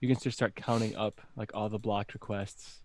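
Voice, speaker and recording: A man's voice: on the low side, level tone, normal speed, a little mellow, no room sound. A man in a casual chat, joking and teasing in light banter.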